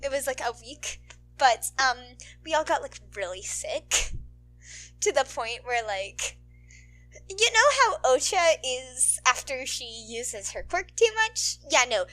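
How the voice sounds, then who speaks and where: Woman, high-pitched, bright, playful, informal, amateur fan-fiction narrator. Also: expressive, takes it slowly, low-quality recording. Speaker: podfic narrator. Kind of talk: animated story narration and casual outro chat.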